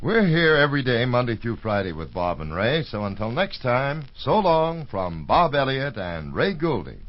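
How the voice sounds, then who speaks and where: A man's voice: medium pitch, sing-song, moderate pace. An American man, a radio announcer reading a 1950s broadcast sign-off. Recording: muffled vintage radio, loud organ music. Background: loud organ music.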